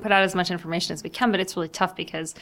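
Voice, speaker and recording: Slightly low-voiced woman in relaxed debate. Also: very fast. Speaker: nonprofit lawyer and podcast co-host. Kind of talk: casual conversation, friendly debate.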